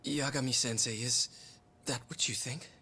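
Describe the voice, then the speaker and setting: A man's voice: medium pitch, fairly even intonation, normal speed, pushed and bright, a little hiss or hum, lightly acted. A man playing a wary, defensive accused laundry worker in a video game, speaking tersely. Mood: anxious, defensive. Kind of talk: video game cutscene acting.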